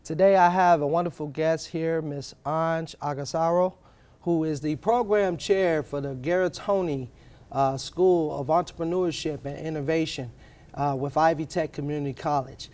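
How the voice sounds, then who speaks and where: A man's voice: fairly high pitch, steady tone, moderate pace, a little mellow. A man hosting a business interview show, formally welcoming his guest and asking questions. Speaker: podcast host. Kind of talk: scripted introduction and interview questions.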